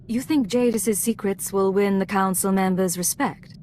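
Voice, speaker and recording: An actress playing a composed, assured female secret agent in a video game, measured and professional. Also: medium pitch, a little mellow, slight background noise, lightly acted. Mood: guarded.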